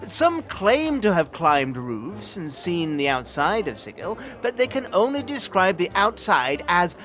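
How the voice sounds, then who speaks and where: A man's voice: high-pitched, very animated, a little slow, a bit bright, heavily acted. A man playing a nervous, bookish records clerk in an audio drama, speaking formally and apologetically with a fussy stammer. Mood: earnest, intrigued. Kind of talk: acted audio drama dialogue. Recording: music under the voice.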